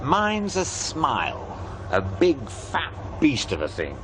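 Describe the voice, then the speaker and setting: An actor playing a wry, cynical paranormal sceptic, sardonic and world-weary in naturalistic audio drama dialogue. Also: male voice, fairly high pitch, huge pitch swings, takes it slowly, background noise, full character voice. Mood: bitter.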